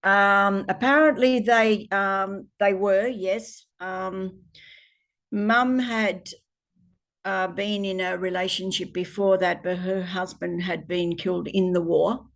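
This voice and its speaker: Woman, 70s, Australian accent, gravelly voice, hesitant, reflective interviewee. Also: medium pitch, steady tone, slow, a bit bright. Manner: hesitant, many ums, reflective. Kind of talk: oral history interview.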